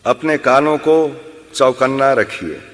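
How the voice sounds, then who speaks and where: A man with a mid-pitched voice guides a meditation very slowly. He gives measured instructions and leaves long pauses between phrases. His voice rings in a long, hall-like reverb. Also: a bit bright. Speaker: yoga nidra instructor.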